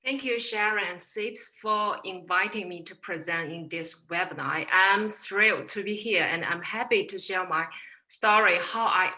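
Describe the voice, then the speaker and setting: A woman from China with a Chinese accent, a webinar guest telling her career story in a formal, measured way over a video call. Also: medium pitch, sharp tone, poor audio. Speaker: webinar guest, procurement professional. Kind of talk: prepared personal story.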